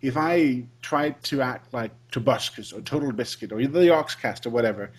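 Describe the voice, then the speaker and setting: A man with a husky, slightly breathy voice chats reflectively about making gaming videos, often backing up the other speaker. His pitch sits in an ordinary middle range. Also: moderate pace. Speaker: fellow gaming video maker. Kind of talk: casual podcast interview.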